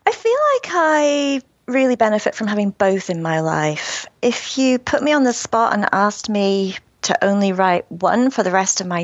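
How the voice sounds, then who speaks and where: A British woman, an author being interviewed, who talks warmly and laughs often. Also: mid-range voice, no room sound, muffled recording. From Britain. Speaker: guest author. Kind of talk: casual interview.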